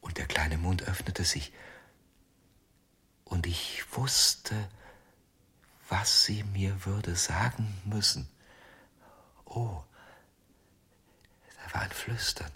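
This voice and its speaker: An actor playing a haunted, obsessive ventriloquist in a German radio crime drama, husky and often near a whisper, speaking slowly and haltingly. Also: male voice, low-pitched, heavily acted. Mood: hushed, entranced. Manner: slow, halting, hushed storytelling. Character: haunted, obsessive male ventriloquist confessing, tender and weary.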